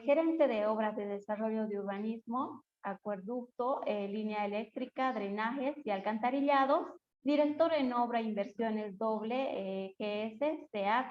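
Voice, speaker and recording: A woman's voice: mid-range voice, muffled recording. A woman coordinating an online course, reading out schedule dates and a guest's résumé with frequent fillers. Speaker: course coordinator introducing the speaker. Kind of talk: reading a formal introduction.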